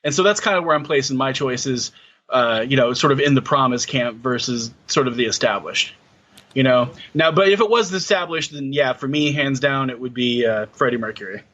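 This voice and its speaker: Guy in his 30s on a podcast, bright kinda tense voice, lots of ums, dull lo-fi sound. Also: mid-range voice, fairly quick. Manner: hesitant, many fillers and restarts. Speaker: podcast co-host. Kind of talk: casual chat.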